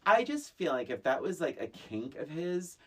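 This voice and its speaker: A podcast co-host chatting casually about movies in a blunt, animated way, swearing freely. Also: medium pitch, normal speed, slightly rough.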